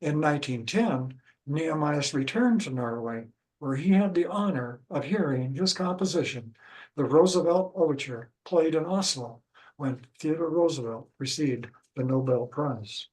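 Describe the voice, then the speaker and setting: An older man with a Midwestern American accent reads a prepared history talk. His delivery is measured and careful, and his voice sits a little high for a man. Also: animated, darkish tone, rough recording. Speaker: local historian giving a talk. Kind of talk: reading a prepared lecture.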